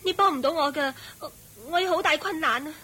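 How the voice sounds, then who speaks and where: Brief: radio play ingenue in distress, high and bright. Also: female voice, a little fast. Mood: fearful. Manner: high, fearful yet defiant. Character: defiant young woman in distress.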